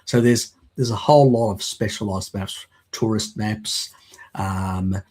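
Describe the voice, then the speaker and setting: A man, explaining things in a rambling, halting way on a video call, with a slight lingering croak from a cold. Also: on the low side, darkish tone. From Australia. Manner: rambling, halting with fillers and restarts. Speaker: guest, works in mapping. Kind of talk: casual live-stream chat.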